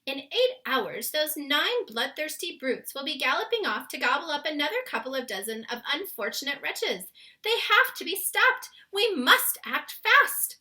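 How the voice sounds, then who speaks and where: Woman playing commanding, bright-voiced Queen. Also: high voice, very animated, normal speed, voice pushed up, full character voice. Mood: urgent. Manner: decisive, regal. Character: commanding, principled female Queen.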